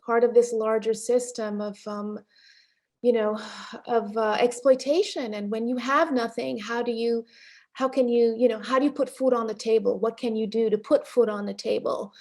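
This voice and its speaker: A middle-aged woman with a fairly high voice talks through her ideas slowly and carefully. She circles back, stacks fillers and restarts as she searches for words, and lets a small laugh slip in now and then. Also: fairly even intonation, darkish tone. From Panamanian and Haitian family background. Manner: thoughtful, many fillers and restarts, occasional laughs. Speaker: film director being interviewed. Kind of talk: reflective interview answers.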